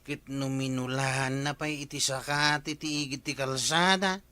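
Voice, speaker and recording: Man acting a bright-voiced, reproachful Ilocano neighbour. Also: medium pitch, steady tone, normal speed, smooth, no echo, lightly acted. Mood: accusing. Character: nosy, reproachful village man confronting his neighbour. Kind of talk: broadcast radio comedy drama acting.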